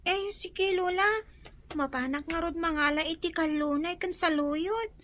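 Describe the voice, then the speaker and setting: A woman's voice: extremely high pitch, measured pace, fairly bright tone, no room sound. A woman playing a hurt yet dutiful young Filipino schoolgirl in a radio drama, plaintive and deferential. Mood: subdued, obedient.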